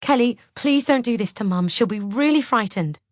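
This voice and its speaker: A woman voicing a frightened, exasperated woman who pleads urgently and strains to stay calm in an audiobook. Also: fairly high pitch, moderate pace, no echo, studio quality. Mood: frightened, pleading. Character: frightened, exasperated woman, protesting her innocence.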